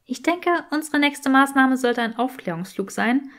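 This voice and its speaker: A woman's voice: sits high, normal speed, fairly bright tone, pitched above the performer's own voice. A woman voicing a curious, bookish young witch who explains things precisely and is easily exasperated, in an audiobook story. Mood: earnest.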